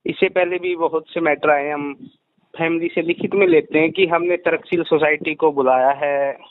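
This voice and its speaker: A man's voice: a little high, steady tone, normal speed, no room sound. A man being interviewed over the phone, explaining a case earnestly and repeating his key points. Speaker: rationalist society president being interviewed by phone. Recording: muffled radio-like phone call recording.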